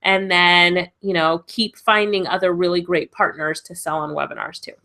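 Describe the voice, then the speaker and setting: A woman's voice: lowish voice, fairly even intonation, normal speed, sharp tone. A woman with a cold and a stuffy nose hosting a live webinar Q and A as a business coach, chatty and encouraging, laughing often. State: congested with a cold.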